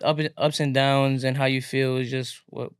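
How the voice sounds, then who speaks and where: Smooth-voiced man, rambling casual talk. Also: mid-range voice, level tone, moderate pace, no echo, studio quality. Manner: casual, rambling, full of "like" fillers. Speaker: podcast guest. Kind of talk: casual podcast chat. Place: podcast studio.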